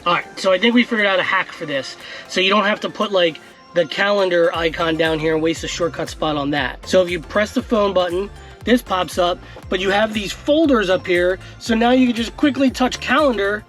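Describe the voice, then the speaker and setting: A man's voice: high-pitched, brisk, faint music behind. Chatty man demoing a car screen. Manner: chatty, relaxed, filler-heavy. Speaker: tech vlogger. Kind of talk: casual demo walkthrough. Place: inside a parked car. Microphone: action camera in car.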